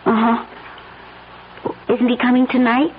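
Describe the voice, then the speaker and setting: Casting: actress for concerned wife, slightly high voice, gently probing, radio-play style, faintly noisy recording. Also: female voice, little pitch movement, unhurried, phone-quality sound, lightly acted. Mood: puzzled, concerned. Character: concerned, observant wife at home. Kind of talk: vintage radio drama acting.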